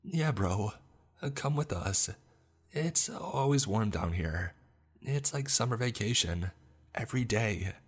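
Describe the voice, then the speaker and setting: A man's voice: lowish voice, lively intonation, slow pace, husky, pitched above the performer's own voice, full character voice. A man voicing a playful, coaxing ghost of a seven-year-old boy in a horror story. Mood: eager, coaxing.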